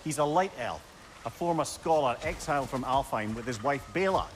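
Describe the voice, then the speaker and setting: A wry old Scottish sage played by a man, talkative and learned, with an animated, swooping delivery. It is game cinematic dialogue with noticeable ambience behind it. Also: medium pitch, brisk, a little hiss or hum, lightly acted. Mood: chatty, informative. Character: garrulous, wry old male sage companion. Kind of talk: video game cinematic acting.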